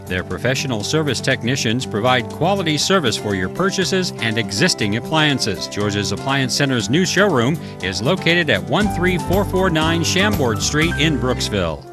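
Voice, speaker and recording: Lively man reading sponsor spots. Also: mid-range voice. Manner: lively announcer delivery. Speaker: sponsor announcer. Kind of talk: read sponsor announcements. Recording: music bed behind.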